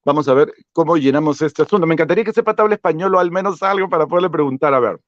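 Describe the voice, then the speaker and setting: A man's voice: fairly high pitch, very fast, no echo, muffled recording. A Peruvian man speaking English with a Peruvian Spanish accent, casually chatting and reading out viewer comments on a livestream. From Peru. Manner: chatty, laughs often, reads out viewer comments. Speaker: vlogger hosting a livestream.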